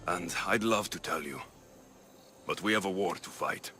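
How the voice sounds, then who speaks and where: A man's voice: low-pitched, moderate pace, bright, forceful tone. A man playing a confident, teasing Greek mercenary hero in a cinematic video game, with a wry, casual manner. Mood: warm, guarded.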